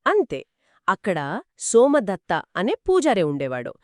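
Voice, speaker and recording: A woman narrates a story as one of two hosts, with a lively, swinging melody in her mid-pitched voice. She explains in an expressive, conversational way, often affirming her partner. The sound is close and dry. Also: a little slow, no room sound. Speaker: storyteller host. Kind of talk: conversational moral storytelling in a two-host dialogue.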